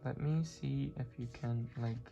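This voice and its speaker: Gravelly halting card reader, music behind. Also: mid-range voice, muffled recording. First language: not English. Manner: halting, many fillers, searching for words. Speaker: tarot and oracle card reader. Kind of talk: pick-a-card spiritual reading. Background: clear background music.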